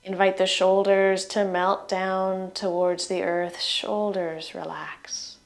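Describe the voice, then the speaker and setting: A woman guides a relaxation meditation at a very slow, unhurried pace. Her mid-pitched voice gives gentle, soothing instructions in short phrases, letting the ends trail away. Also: little pitch movement, gravelly.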